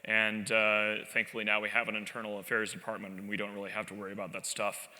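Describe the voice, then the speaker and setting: A man gives a live conference talk in a large hall, telling anecdotes with a wry, self-deprecating edge. His voice sits on the low side but sounds very bright and sharp. The stage microphone picks up the long reverb of the room. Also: fast talker. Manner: animated, wry storytelling with self-deprecating asides. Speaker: conference speaker.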